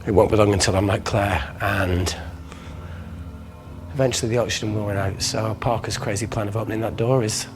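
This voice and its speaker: A man's voice: lowish voice, fairly even intonation, a little fast, soft background music, close to an everyday voice. A man acting a cynical, blunt, cornered male colleague in a naturalistic interactive film drama. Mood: weary, resigned.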